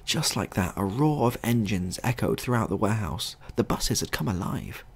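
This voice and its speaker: A man narrating a scripted comic short story as a storyteller, in a husky, gravelly voice. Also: lowish voice, animated, moderate pace.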